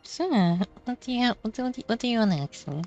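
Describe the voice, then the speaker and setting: A woman doing casual gameplay commentary, rambling and halting as she repeats words. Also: on the high side, animated, muffled recording. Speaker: video game streamer.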